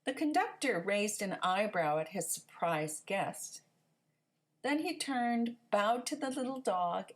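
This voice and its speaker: Expressive, unhurried woman reading a storybook. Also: on the high side. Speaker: storybook narrator. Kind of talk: animated children's read-aloud narration.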